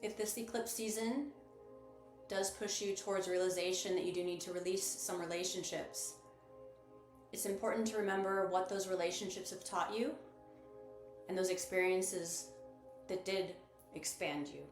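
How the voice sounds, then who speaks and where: A woman leading a guided meditation as host, speaking in a measured, instructive and gently encouraging manner. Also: on the low side, fairly even intonation. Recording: roomy echo with music behind. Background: music.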